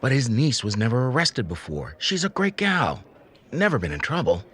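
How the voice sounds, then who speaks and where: An actor playing a stern, weary, authoritative police chief in an audio drama, firm and no-nonsense. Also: male voice, mid-range voice, huge pitch swings, moderate pace, a bit bright, slightly rough, full character voice. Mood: concerned.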